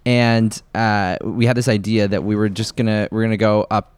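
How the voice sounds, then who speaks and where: A man co-hosting a podcast, relaxed and filling pauses with uh. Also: lowish voice, clear, resonant tone, no echo. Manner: relaxed, fills with uh. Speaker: podcast co-host. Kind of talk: casual podcast chat.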